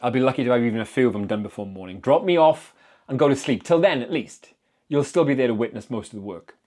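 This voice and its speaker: A man voicing a steady, caring doctor in a dramatic story reading, firm and reassuring. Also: medium pitch, fast talker, lightly acted. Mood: insistent, reassuring. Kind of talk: dramatic audiobook character reading.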